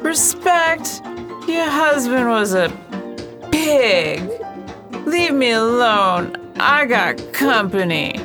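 A woman's voice: fairly high pitch, lively intonation, very slow. A woman playing a brash, defiant woman who talks in coarse, taunting slang in a radio drama. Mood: scornful. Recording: radio play with music behind.

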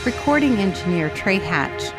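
A woman's voice: mid-range voice, big pitch swings, moderate pace. A woman announcer reading scripted radio drama credits in a formal, presentational way, recorded in a studio. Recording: studio voice over loud music. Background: loud theme music.

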